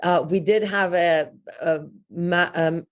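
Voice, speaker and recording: A woman's voice: on the low side, fairly even intonation, takes it slowly. A woman guest on a podcast, talking casually about her work with frequent fillers and restarts. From Iran. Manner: hesitant, many fillers and restarts. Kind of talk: casual interview talk.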